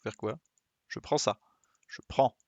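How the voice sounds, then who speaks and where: A man's voice: slow pace, no echo, highs cut off. A man doing casual live gameplay commentary, thinking aloud as he plays and often muttering to himself. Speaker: game streamer.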